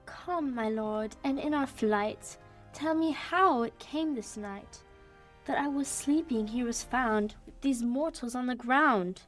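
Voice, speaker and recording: A woman's voice: on the high side, slow, soft background music. A young woman playing a regal, doting fairy queen, reciting lyrical Shakespearean verse in a radio play. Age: teens or young adult.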